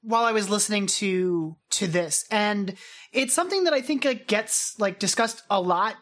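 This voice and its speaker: A woman's voice: medium pitch, animated, moderate pace, a bit bright. Casual woman podcast co-host. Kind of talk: casual chat with brief reactions.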